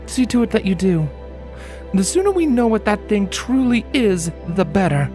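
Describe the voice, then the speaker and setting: A woman playing a wary ex-soldier pony, speaking in a formal, commanding, measured way in a dramatic story reading. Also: mid-range voice, big pitch swings, warm tone, soft background music, natural voice. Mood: serious. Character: stern, wary female pony, ex-soldier fugitive. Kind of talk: dramatic audiobook acting.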